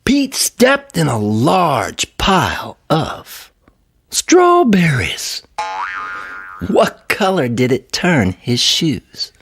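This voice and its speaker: A woman's voice: deep voice, slight background noise. A woman reading a children's story aloud expressively, drawing out her words and putting questions to young listeners. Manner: drawn-out, expressive delivery. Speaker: story reader.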